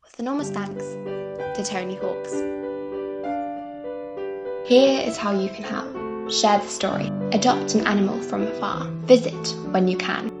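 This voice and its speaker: A woman's voice: mid-range voice, unhurried, gravelly, long pauses. A woman giving scripted promotional narration for a campaign. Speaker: campaign announcer. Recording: voice over loud piano music. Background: loud piano music.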